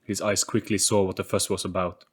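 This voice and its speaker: Low-pitched man narrating an audio story. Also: level tone, a little fast.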